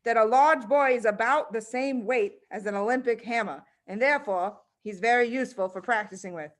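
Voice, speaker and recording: A woman's voice: on the high side, a little fast, natural voice. A woman voicing a knowing schoolgirl in a children's storybook, confiding and emphatic as she dramatically gossips. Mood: knowing, relishing.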